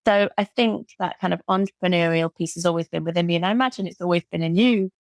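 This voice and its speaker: A British woman with a lowish, gravelly voice talks with lively enthusiasm and often doubles her words for emphasis. The sound is dry and close. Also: fast talker, dry recording. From Britain. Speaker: guest, burnout recovery coach. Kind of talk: casual interview chat.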